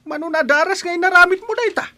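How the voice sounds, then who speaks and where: A man's voice: extremely high pitch, fast talker, pushed and bright, full character voice. A man acting in an audio drama as a stern, middle-aged Filipino father, lecturing his son reproachfully. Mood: stern, admonishing. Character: stern, scolding father of a hard-drinking grown son.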